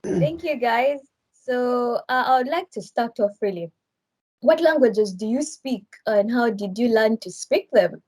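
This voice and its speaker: A woman's voice: on the high side, animated, a little slow, darkish tone. A woman hosting a podcast, keeping it short as she asks the guests questions. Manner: brief, inviting questions. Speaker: podcast host. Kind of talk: casual podcast moderation.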